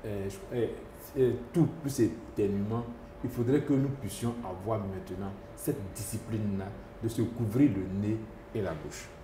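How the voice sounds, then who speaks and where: A man's voice: on the low side, moderate pace, background noise. A man, a doctor answering interview questions, hesitant, with many fillers and restarts. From Côte d'Ivoire. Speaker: epidemiologist doctor. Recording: echoey room with faint ambience.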